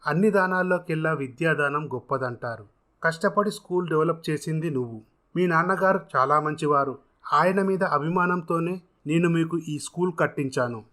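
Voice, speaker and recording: A man's voice: on the high side, normal speed, bright, forceful tone. A man voicing an earnest, generous self-made contractor who speaks formally and encouragingly in a dramatised story. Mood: earnest, kindly.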